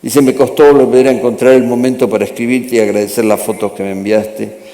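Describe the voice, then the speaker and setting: A man on a conference panel reading a letter aloud in a measured way. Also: mid-range voice, little pitch movement, mellow. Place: conference hall. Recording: echoey hall with long reverb.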